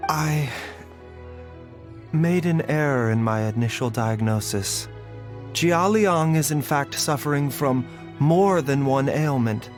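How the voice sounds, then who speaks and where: A man voicing a soft-spoken male doctor who stays measured and composed, speaking slowly over soft game music. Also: on the high side. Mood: calm. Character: calm, gentle male doctor, drained after healing. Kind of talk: game voice acting.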